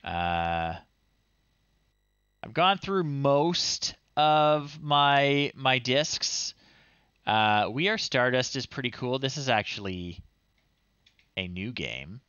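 A man's voice: mid-range voice, animated, takes it slowly, pauses often, sharp tone, clear, resonant tone, dry recording, dull audio. A middle-aged man giving casual, wry commentary on a live retro game stream. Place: small room indoors. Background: retro chiptune game music.

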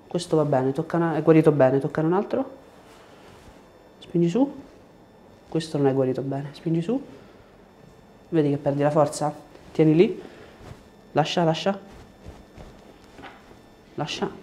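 A man, working as a chiropractor and giving short, gentle instructions and questions during an exam. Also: fairly high pitch, lively intonation, measured pace, long pauses, a little hiss or hum. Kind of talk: hands-on exam, instructing a patient. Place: small treatment room indoors.

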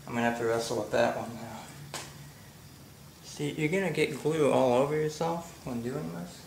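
A man casually explaining a motorcycle grip install while working in his garage, talking slowly with many fillers. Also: medium pitch, vocal fry. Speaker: motorcycle vlogger. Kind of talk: casual how-to narration. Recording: echoey garage with faint ambient noise.

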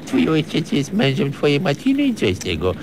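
A man's voice: on the high side, huge pitch swings, normal speed, heavily acted. An actor playing a petulant, amorous old grandfather who rambles and whines in a comic radio play. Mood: petulant, plaintive. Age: older. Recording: radio play with clear background noise.

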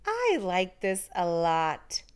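A woman voicing a shivering, cheerful, childlike male snowman in a storybook, exclaiming with drawn-out, dramatic words. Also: lowish voice, wildly swooping pitch, smooth, exaggerated voice. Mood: pleased.

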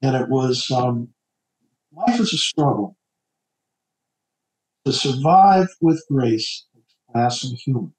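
A middle-aged man from New Jersey, an author guest on a casual podcast, talking in a halting way with many stammered repeats. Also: mid-range voice, very animated, darkish tone. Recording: echoey room.

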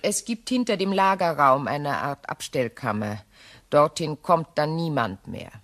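An actress playing an anxious but composed, recently widowed English shop owner in a German crime radio play, polite and measured. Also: female voice, sits low, expressive, a bit bright, exaggerated voice. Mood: quietly worried.

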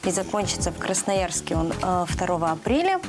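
A woman in her thirties explaining things as a guest in a studio interview, in a halting, pause-filled delivery. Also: on the low side, sing-song, over music. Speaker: guest, animation festival organizer. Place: TV studio, seated. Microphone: lapel mic.

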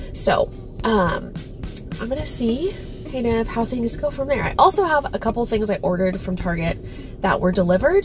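A woman in her thirties, stuffy and congested, chatting casually to her phone camera from her car and kitchen with lots of ums and little laughs. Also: medium pitch. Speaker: vlogger. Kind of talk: casual vlog chat. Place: parked car, then home kitchen. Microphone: phone camera at arm's length.

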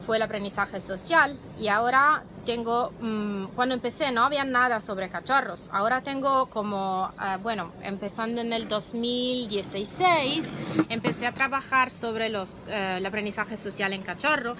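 A woman in her thirties, a native Italian speaker, talks in Spanish that she is still searching for, with pauses and restarts. Her voice sits a little high. She is recorded on a phone held at arm's length on an outdoor patio, with clear background noise behind her. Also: bright, forceful tone. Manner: searching for words, slow and hesitant. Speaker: dog behavior researcher. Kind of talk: live video chat. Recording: noisy outdoor phone stream.

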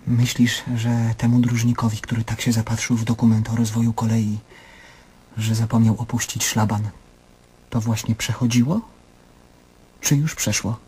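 An actor in a radio play voicing an anguished, self-questioning middle-aged man, brooding and intense, speaking in halting monologues. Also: medium pitch, level tone, a little mellow, slightly rough. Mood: weary, troubled.

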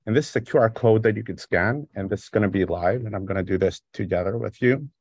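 A middle-aged man from Greece with a Greek accent, giving a relaxed, conversational lecture over a video call, with frequent fillers. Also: lowish voice, fast, slightly rough, no room sound, clean studio sound. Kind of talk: remote academic lecture. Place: home or office on a video call. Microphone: video call microphone.

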